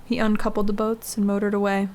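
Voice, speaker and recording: A woman's voice: mid-range voice, monotone, fast talker, darkish tone. A woman reading a scary short story as a scripted audiobook-style narration. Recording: clearly noisy background ambience.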